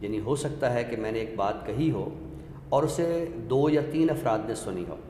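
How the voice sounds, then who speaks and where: A man explains literature as a talk show guest, measured and scholarly, often posing rhetorical questions. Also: medium pitch, lively intonation, dark tone. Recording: echoey hall-like room with faint noise.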